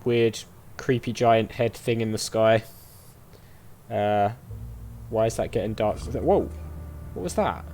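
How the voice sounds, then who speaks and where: A man's voice: lowish voice, measured pace, pauses often, darkish tone. A man doing casual let's play commentary, thinking aloud as he goes. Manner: thinking aloud, reacting to the game. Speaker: gaming streamer.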